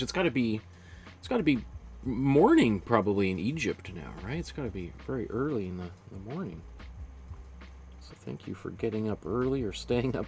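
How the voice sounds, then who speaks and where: A male painting instructor leading a live-streamed lesson, thinking aloud as he works and chatting with viewers in a relaxed, friendly way, sometimes dropping to soft murmured asides. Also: medium pitch.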